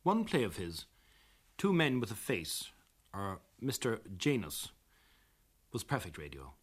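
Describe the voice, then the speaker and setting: A man, presenting and interviewing in a radio documentary. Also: mid-range voice. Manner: measured, articulate. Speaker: host, interviewer.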